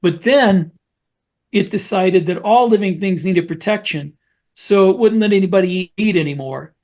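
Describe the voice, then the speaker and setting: A man's voice: high voice, moderate pace, darkish tone. A man co-hosting a podcast, explaining things in a casual, storytelling way as part of a panel discussion. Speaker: podcast co-host.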